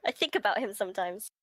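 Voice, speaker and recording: A woman's voice: mid-range voice, expressive, a little fast, fairly bright tone, dry recording. A woman streamer reading a visual novel aloud, giggly and breaking from the script with casual reactions. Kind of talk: live stream read-aloud with casual asides.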